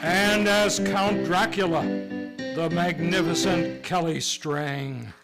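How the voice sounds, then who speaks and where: Prominent music plays behind a man acting a high-voiced radio host of the old school. He is fussy and pompous, announcing in a theatrical manner. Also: fairly even intonation, takes it slowly, gravelly, heavily acted. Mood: grand, showy.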